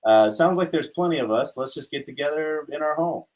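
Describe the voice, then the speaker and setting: A man's voice: a little high, brisk, a little mellow, low-quality recording. A man from Utah chatting casually and easily as an interview guest. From Utah, United States. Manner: easygoing, conversational. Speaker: interview guest, animation studio co-founder. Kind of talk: casual chat.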